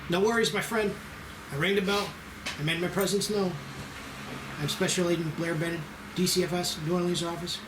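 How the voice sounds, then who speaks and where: A man's voice: high voice, steady tone, a little fast, long pauses, lightly acted. A man playing a self-assured, wry special agent from child services in an amateur screenplay table read. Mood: breezy, assured. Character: cocky, unflappable male federal child services special agent. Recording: roomy echo with clear noise.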